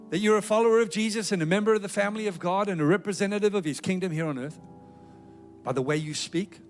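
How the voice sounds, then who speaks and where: A South African man preaching a sermon with a South African accent, in an earnest, emphatic exhorting manner. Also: high voice. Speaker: pastor.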